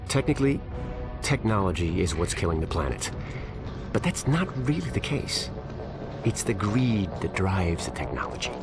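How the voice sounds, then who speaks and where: A calm scientist villain. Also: male voice, low-pitched. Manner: calm, lecturing, chillingly matter-of-fact. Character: cold-blooded male scientist villain lecturing. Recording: game cutscene with loud score.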